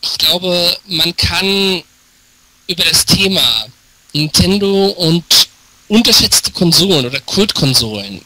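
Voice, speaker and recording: Man, thirties, very bright forceful voice, fairly high, wry podcast co-host, clicky online call. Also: lively intonation, takes it slowly, no room sound. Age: 30s. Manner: wry, tongue-in-cheek. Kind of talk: casual podcast talk. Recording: noisy, clicky internet call.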